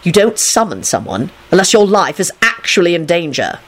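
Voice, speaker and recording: A woman's voice: on the low side, big pitch swings, moderate pace. A woman voicing an amiable, wry and unruffled British man in a story, with a pleasant, teasing manner. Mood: reassuring. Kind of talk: dramatised story reading.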